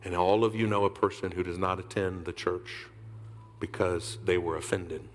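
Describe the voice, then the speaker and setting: A man in his thirties preaches from a large church stage in a very deep voice. He swings from hushed, whispered lines to loud calls for the congregation to answer back. A faint hum sits under the sermon. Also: steady tone, moderate pace. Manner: preaching with sudden whispered drops and loud rises. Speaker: pastor.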